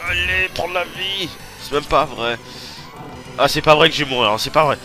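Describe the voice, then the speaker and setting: A man with a stuffy cold giving excitable, swearing commentary over his own racing gameplay. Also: fairly high pitch, normal speed. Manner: excitable, swearing, yelps and laughs while playing. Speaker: let's play host. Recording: game music over voice. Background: loud game music.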